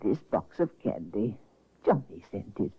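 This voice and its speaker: A woman's voice: very deep voice, very animated, slow pace, warm tone, slightly rough, exaggerated voice. A doddering old mother with a sweet tooth. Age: older. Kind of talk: 1950s radio drama acting. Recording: tinny old radio broadcast.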